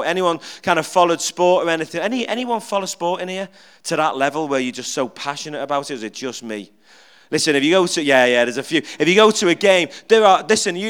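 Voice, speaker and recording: A middle-aged man preaching an informal, humorous sermon in church, chatty and animated, restarting words and joking with the congregation. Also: high voice, clean tone. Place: church, speaking at the front.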